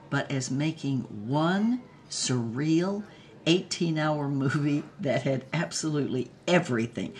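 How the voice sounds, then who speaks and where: A woman's voice: a bass voice, slight background noise. An actress playing an irreverent, wise former Hollywood starlet in her nineties, with a wry, salty, theatrical delivery in an audio drama. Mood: wry nostalgia. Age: 90s, as played. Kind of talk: audio drama monologue, reflective storytelling.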